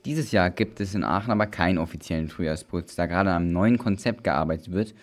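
A man with a deep, low voice reads a scripted report for youth radio. He narrates it as an explainer for listeners. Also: a little fast.

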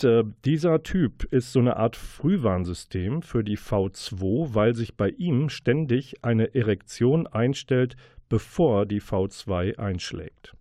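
A man with a fairly low, mellow voice presents books on a radio-style programme. He explains in an informal, conversational way, recorded dry and close. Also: dry recording. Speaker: book programme host. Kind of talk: informal spoken review.

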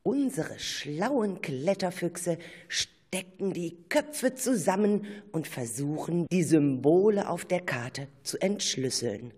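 A narrator reading a children's radio play script in an expressive, suspenseful storytelling manner. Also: medium pitch, slow, slightly rough.

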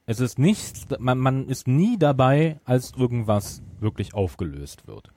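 A man with a medium-pitched voice speaks as a podcast co-host. He reviews casually in conversation, recorded dry with no room sound. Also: sing-song, unhurried, warm tone, dry recording.

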